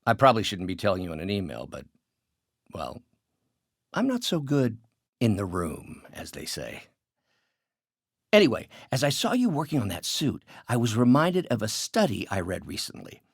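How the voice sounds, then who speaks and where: An actor playing an earnest, self-deprecating older male scientist and mentor in a video game, articulate and formal with a halting delivery. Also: fairly low pitch, wildly swooping pitch, gravelly, breathy edge, no room sound, studio quality, full character voice. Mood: apologetic, earnest.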